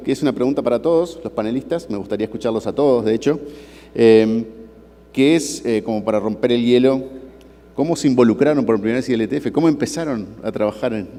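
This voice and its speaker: Dark-voiced male moderator, echoing hall. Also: medium pitch. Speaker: panel moderator. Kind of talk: informal conference moderation. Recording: long hall reverberation.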